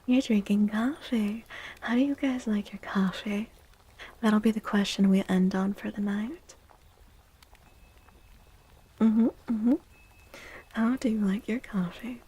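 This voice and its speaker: A woman livestream host chatting in a soft-spoken ASMR style, often dropping into a whisper, with a gentle, playful, cutesy and affectionate manner. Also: medium pitch, fairly even intonation, slow, pauses often, husky.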